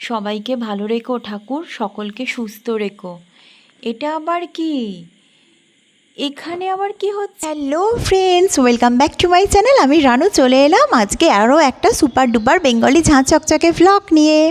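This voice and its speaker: High-voiced woman vlogger. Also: expressive, moderate pace. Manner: addresses viewers as friends, chatty. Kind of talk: casual chatty vlog narration.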